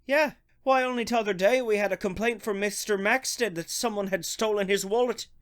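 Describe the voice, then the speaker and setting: A man's voice: high-pitched, normal speed, fairly bright tone. Man as suspicious police constable, officious, blustering, accusing, colloquial working-class talk, radio drama. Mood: scornful.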